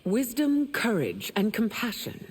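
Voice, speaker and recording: A woman playing a regal, stern empress in a video game, speaking formally and with measured command. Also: on the high side, wildly swooping pitch, a little mellow, breathy edge, heavily acted. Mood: calm, regal. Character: regal, stern warrior empress, compassionate but wrathful. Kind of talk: dramatic video game acting. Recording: long reverb, faint background noise.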